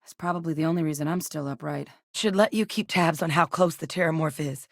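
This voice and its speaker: An actress playing a composed, capable female scientist in a game, speaking in a measured, matter-of-fact, dry way. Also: deep voice, no echo. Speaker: scientist working alongside the captain. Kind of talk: game character voice lines.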